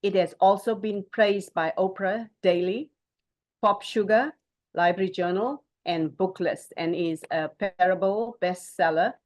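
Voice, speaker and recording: A woman hosting a panel in an informal way, often halting as she searches for words. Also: medium pitch, darkish tone. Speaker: panel moderator.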